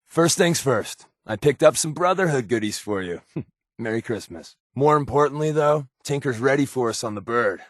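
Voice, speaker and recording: Game companion role, male actor: wisecracking secret agent man, average pitch with very lively inflection, dry sarcastic humor. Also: moderate pace, no room sound, close to an everyday voice. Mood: conspiratorial, casual. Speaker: video game companion character. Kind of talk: game voice acting.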